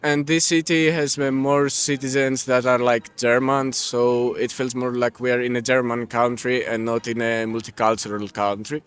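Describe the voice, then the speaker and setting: Man, interviewee, measured delivery, smooth clear voice. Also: medium pitch, little pitch movement. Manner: measured, with fillers. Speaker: street interviewee. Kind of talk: casual street interview answer.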